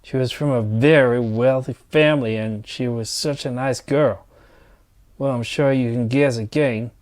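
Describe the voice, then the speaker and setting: A man's voice: mid-range voice, steady tone, measured pace, darkish tone, natural voice. Man acting a grumbling, resentful working man. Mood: bitter, exasperated. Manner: blunt, exasperated, sweary. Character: grumbling, resentful, set-in-his-ways male mine engineer. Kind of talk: dramatized dialogue.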